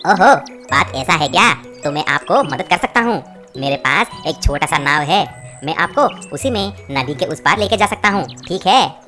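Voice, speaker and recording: A woman's voice: deep voice, expressive, moderate pace, a bit bright. A woman voicing a kind male fisherman who offers help in a reassuring way. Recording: music bed behind.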